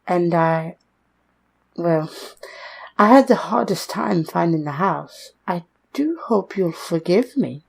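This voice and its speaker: A woman's voice: fairly low pitch, lively intonation, slow, vocal fry, husky, exaggerated voice. An actress playing a meek, earnest young woman seeking work, timid, polite and deferential, in an old-time radio drama style. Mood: nervous, respectful. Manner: polite, timid, hesitant, formal.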